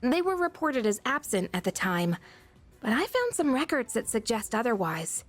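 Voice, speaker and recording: A woman voicing a frank, inquisitive teenage schoolgirl in a video game, talking in a casual, expressive way. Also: on the high side, normal speed, faint music behind. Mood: tentative, curious. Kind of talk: English video game voice acting.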